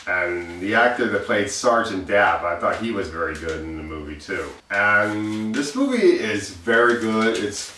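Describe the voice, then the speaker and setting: A man with a low voice talks from well back from the microphone in a very roomy, echoing space. He delivers a casual amateur movie review, rambling a little and leaning into emphatic phrases. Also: animated, a little slow, few pauses, bright, forceful tone, slight background noise. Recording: roomy echo, distant microphone.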